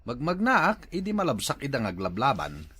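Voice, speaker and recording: A man's voice: mid-range voice, huge pitch swings, brisk, bright, forceful tone, husky, full character voice. A man playing a gossipy village husband in a comedy drama, with a Filipino accent. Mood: earnest.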